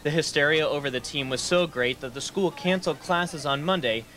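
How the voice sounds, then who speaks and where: A man's voice: on the high side, a little fast, bright, forceful tone. A man working as a TV news reporter, narrating a scripted story.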